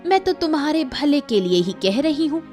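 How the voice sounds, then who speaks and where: A woman voicing a spiteful, sharp-tongued village woman in a cartoon story, taunting and nagging. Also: high-pitched, big pitch swings, moderate pace, soft background music. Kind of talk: Hindi cartoon story voice acting.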